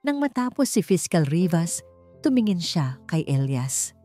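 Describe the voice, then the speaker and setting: A Filipino woman narrates a dramatic story with measured suspense. Her voice sits a little low for a woman. Soft music plays behind her scripted delivery. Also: very animated. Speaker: audiobook narrator. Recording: voice over background music.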